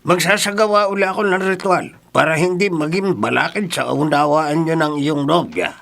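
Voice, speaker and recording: An actor playing an older ritual healer in a horror radio drama, with a gravelly, creaky voice and an insistent, mysterious manner. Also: male voice, fairly high pitch, moderate pace, a bit bright. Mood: insistent, scheming.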